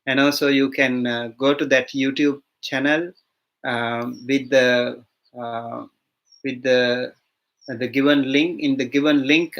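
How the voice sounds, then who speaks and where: Slow-spoken Sri Lankan monk teaching. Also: male voice, mid-range voice, fairly bright tone. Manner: unhurried, many fillers and restarts. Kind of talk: online language lesson.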